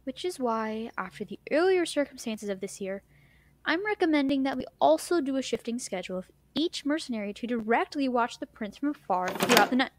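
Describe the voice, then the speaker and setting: Higher-pitched, businesslike female mercenary, acted by a woman. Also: animated, brisk, background noise. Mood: businesslike, respectful. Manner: formal, matter-of-fact. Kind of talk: audio drama acting.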